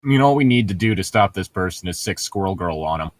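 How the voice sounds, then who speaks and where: A man's voice: sits low, expressive, fast talker. A man co-hosting a podcast, reading aloud and bantering casually in a playful, sarcastic, sweary way. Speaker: podcast co-host.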